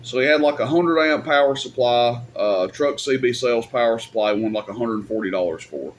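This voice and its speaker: Male, mid-pitched, casual rambling video host, plain-spoken delivery, some background noise. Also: brisk. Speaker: YouTube host and radio equipment seller. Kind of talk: casual off-the-cuff advice talk.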